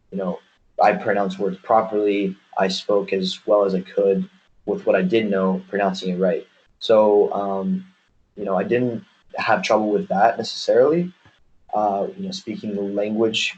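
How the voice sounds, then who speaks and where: A man's voice: fairly low pitch, a little mellow, poor audio. An American man speaking casually as an interview guest, explaining things in a rambling way peppered with "you know". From United States.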